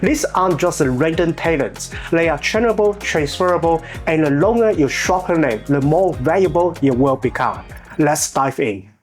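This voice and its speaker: A man in his thirties with a Chinese accent, hosting his own channel and giving earnest, measured, scripted motivational narration with small grammar slips. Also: fairly high pitch, animated, soft background music. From China. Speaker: channel host.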